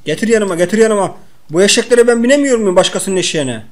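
A man's voice: high voice, animated, fast talker. A man playing a video game and chatting in casual slang with a co-player, exclaiming and repeating short phrases.

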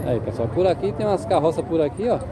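A man's voice: fairly high pitch, expressive, fast, noisy background. A man with a Northeastern Brazilian accent, vlogging from an outdoor horse fair, chatting with sellers and repeating their prices back. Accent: Northeastern Brazilian Portuguese. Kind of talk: casual on-the-spot interviewing. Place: busy outdoor livestock fair. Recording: loud crowd outdoors.

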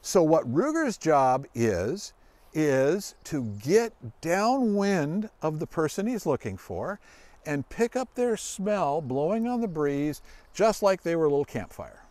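Middle-aged man, slightly high voice, animated intonation, folksy explainer, casual children's demonstration. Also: slow, no room sound. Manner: folksy, explanatory, asks the listener questions. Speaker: search dog handler and guest presenter. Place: outdoors in a grassy forest clearing.

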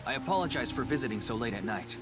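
A man's voice: mid-range voice, lively intonation, racing, close to an everyday voice. An actor playing a polite young male police detective in a video game. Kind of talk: English game voice acting. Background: loud game music.

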